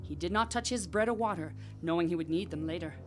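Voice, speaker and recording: Radio drama narrator, female actor: slightly low, measured storytelling over a musical score. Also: over music, close to an everyday voice.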